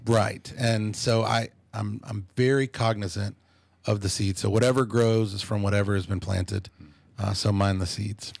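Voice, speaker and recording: A man in his fifties talking reflectively as a podcast guest, thoughtful and measured, with many restarts. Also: fairly low pitch, steady tone, creaky. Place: small podcast studio. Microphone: studio microphone on a boom arm.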